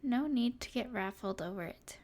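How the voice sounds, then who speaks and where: A woman playing a smug, teasing evil sorceress in an intimate ASMR roleplay, with a breathy edge and a haughty, mysterious manner. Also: fairly high pitch, lively intonation, normal speed, a little mellow.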